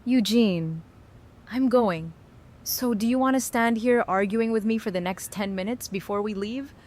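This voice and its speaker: A woman's voice: mid-range voice, measured pace, a little mellow, natural voice. A woman playing a tough, blunt, teasing but loyal friend in an audio drama, with casual, salty delivery. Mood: firm, insistent. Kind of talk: fan audio drama acting.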